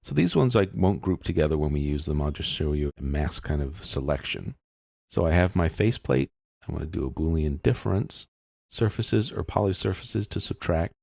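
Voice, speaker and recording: A man calmly narrating a screen-recorded software tutorial, explaining each step as he goes. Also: extremely low pitch, normal speed, creaky, slightly rough, dry recording, studio quality. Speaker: software instructor.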